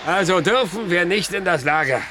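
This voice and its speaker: An actor in a German radio play, playing a proud, weary older Native chief and father with a solemn, measured, declamatory delivery. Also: sits high, animated. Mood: weary, grave. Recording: clearly noisy old radio recording.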